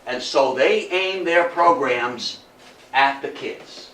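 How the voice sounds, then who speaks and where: An older man giving an informal, animated lecture to an audience, repeating phrases for emphasis and often checking in with an okay. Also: medium pitch, slow pace. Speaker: lecturer at a historical society talk. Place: indoor talk room with audience. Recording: echoey room, distant mic, background noise.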